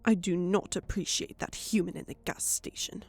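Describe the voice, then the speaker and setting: A woman's voice: fairly low pitch, moderate pace, vocal fry, husky, no room sound, soft background music. A woman voicing a haughty, easily offended nonhuman lady with prim, formal phrasing in an audiobook. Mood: indignant. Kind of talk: audiobook character acting.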